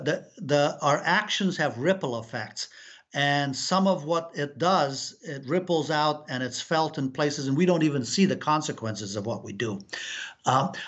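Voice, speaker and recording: Man, middle-aged, from Afghanistan, interview guest, reflective, halting, lots of fillers, somewhat high voice, muffled lo-fi audio. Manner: thoughtful, halting, many fillers and restarts. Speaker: author, guest. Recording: dull lo-fi sound.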